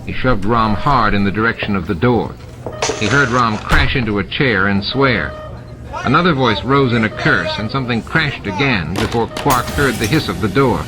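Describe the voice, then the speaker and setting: A man's voice: on the low side, moderate pace. A man narrating scripted fiction as an audiobook reader. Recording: music and sound effects mixed under narration.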